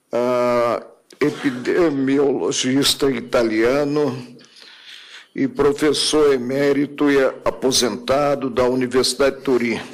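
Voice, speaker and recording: A man presides over a court hearing and announces each speaker in a formal manner. He speaks very slowly and deliberately, at a middle pitch. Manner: measured, deliberate, ceremonious.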